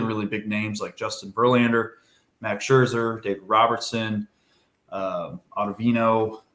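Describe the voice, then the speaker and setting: A young man from Minnesota, a baseball pitcher, talking casually in a podcast interview, easygoing and rambling with fillers. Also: a bit bright, roomy sound.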